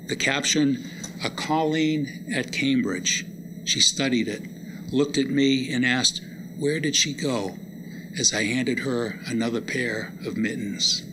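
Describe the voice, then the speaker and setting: A middle-aged man giving a public talk, introducing and reciting poems at a live lecture. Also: medium pitch. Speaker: college lecturer and poet presenting readings. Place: live lecture event before listeners. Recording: noisy live hall recording.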